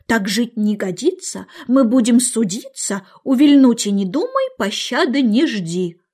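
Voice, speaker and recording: A woman's voice: a little high, very animated, unhurried, darkish tone, slightly rough, exaggerated voice. A woman voicing a menacing old cat who declaims threats in verse.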